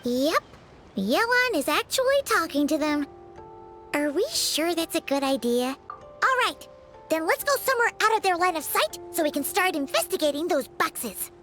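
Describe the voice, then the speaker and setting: A woman voicing a tiny, excitable floating female companion who fusses and chatters in a video game. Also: very high-pitched, normal speed, full character voice. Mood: anxious. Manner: fussy, talks about herself in third person. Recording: game audio with faint music behind.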